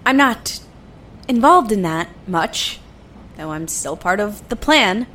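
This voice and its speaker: A woman's voice: mid-range voice, expressive, slow, a bit bright, a little hiss or hum. A woman acting an overworked, defensive engineer in a science fiction audio drama. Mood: focused, weary.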